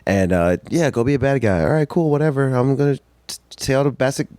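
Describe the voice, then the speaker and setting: Young male podcast guest, soft-spoken and self-deprecating, lively intonation, dry close sound. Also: fairly quick, no echo. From North Carolina. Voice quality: naturally high-pitched. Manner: soft-spoken, laughs often. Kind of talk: casual podcast chat.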